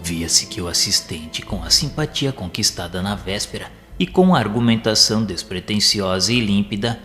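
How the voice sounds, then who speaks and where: A low-voiced man narrates with a Brazilian accent. His delivery is formal and literary, read from a script, with a long reverberant tail and soft music behind it. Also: moderate pace.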